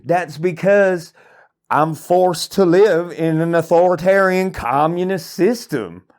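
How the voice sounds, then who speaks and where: A man's voice: high-pitched, slow. A man doing a hillbilly ranting in a drawl. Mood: indignant ranting. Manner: indignant, profane, rambling rant. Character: angry rural hillbilly man ranting about politics. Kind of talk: satirical comedic monologue.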